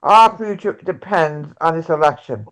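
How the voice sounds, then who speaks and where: A woman's voice: deep voice, expressive, poor audio. A woman playing an earnest, hopeful progressive presidential candidate in an amateur table read of a play. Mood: urging, earnest. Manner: halting script reading with stumbles.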